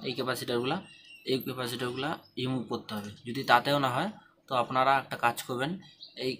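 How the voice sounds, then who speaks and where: A man's voice: medium pitch, pushed and bright. A man presenting a hands-on repair tutorial, repeating himself as he instructs step by step. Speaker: phone repair tutorial host. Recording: clearly noisy home-made recording.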